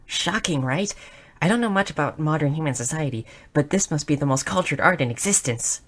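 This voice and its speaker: A woman voicing a bookish, excitable young goblin girl in an audio roleplay, curious, shy and slightly stammering. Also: low-pitched, brisk. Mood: earnest, fascinated. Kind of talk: scripted audio roleplay addressed to the listener.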